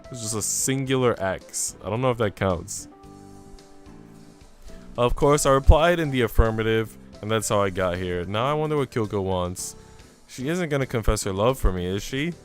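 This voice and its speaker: A man's voice: lowish voice, expressive, moderate pace. A man streaming, reading a visual novel aloud with casual, chatty asides. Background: music.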